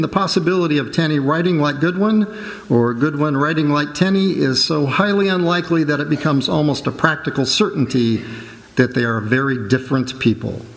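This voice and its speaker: A man playing a self-assured expert witness, formal, measured and precise, in a courtroom radio drama. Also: a little high. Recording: echoey hall stage recording.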